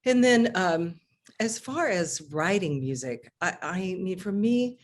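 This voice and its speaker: Middle-aged woman, low voice, animated singer guest on a video call. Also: slow. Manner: chatty, restarts often, animated. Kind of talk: casual video interview. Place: home living room.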